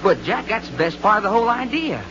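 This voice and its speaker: A man's voice: sits high, big pitch swings, fast talker. An actor playing a boastful, girl-crazy, folksy adventurer with a Southern American drawl in an old-time radio serial. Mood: excited. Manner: folksy drawl with colloquial slang. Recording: muffled, noisy old-time radio recording.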